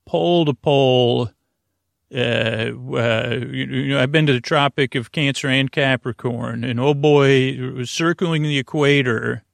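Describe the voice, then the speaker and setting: A man with a creaky voice hosting a sleep-aid podcast in a soothing, meandering, hesitant monologue full of tangents. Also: mid-range voice, unhurried, dry recording. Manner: soothing, lulling. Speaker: podcast host.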